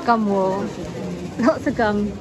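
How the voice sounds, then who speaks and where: A woman's voice: medium pitch, takes it slowly. A woman in her thirties chatting casually while vlogging her travels, laughing often, filmed on a handheld camera. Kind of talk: casual travel vlog chat. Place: busy restaurant and crowded streets outdoors. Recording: crowded noisy space, loud music. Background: crowd chatter and loud music.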